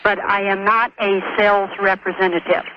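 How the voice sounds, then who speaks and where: A man calling a radio show, explaining a problem in a measured, hesitant, precise way over the telephone. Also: high-pitched, level tone. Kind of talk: explaining a work problem by phone. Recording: muffled noisy phone line on radio.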